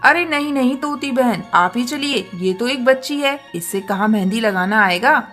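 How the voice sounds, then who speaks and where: A woman voicing a brisk, polite adult female pigeon in a cartoon. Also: a little high, lively intonation, over loud music, natural voice. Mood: doubtful. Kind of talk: Hindi cartoon dialogue.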